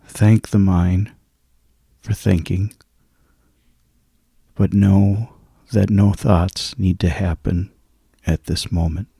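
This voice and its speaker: A man leading a guided sleep meditation in a soft, hushed, soothing and husky voice, with long pauses between phrases. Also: deep voice, little pitch movement, no echo.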